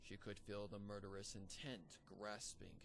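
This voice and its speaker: Low-voiced man reading a dark story. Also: little pitch movement, moderate pace, vocal fry, a little hiss or hum. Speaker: fanfiction narrator. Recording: reading over background music.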